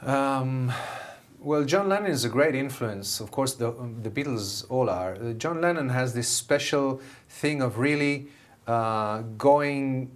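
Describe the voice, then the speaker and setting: Middle-aged Israeli-accented musician, eager guest, average pitch. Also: male voice. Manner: enthusiastic, many fillers and restarts. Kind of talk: TV interview answers.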